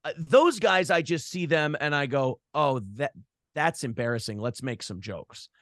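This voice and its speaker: A man's voice: a little high, lively intonation, normal speed, fairly bright tone, no room sound, clean studio sound. An American man talking casually as an interview guest, blunt and opinionated, with profanity and the practised talk of a radio show host. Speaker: morning show host and former radio broadcaster.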